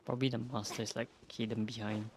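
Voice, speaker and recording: A man's voice: mid-range voice, flat delivery, quick pace, very noisy. A man giving casual gameplay commentary as a streamer. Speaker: game streamer. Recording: game music behind the voice.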